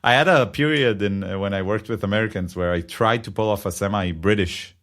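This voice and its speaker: A man's voice: on the low side, brisk, fairly bright tone. A man with an Israeli accent co-hosting a podcast, chatting casually and joking about himself. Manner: chatty, joking, self-deprecating. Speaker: podcast co-host.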